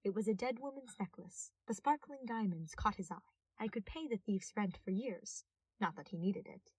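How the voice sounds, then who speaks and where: A woman's voice: mid-range voice, animated, normal speed, darkish tone, husky, dry recording, recorded from a distance, poor audio. A woman reading scripted fiction aloud as a narrator. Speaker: podfic narrator.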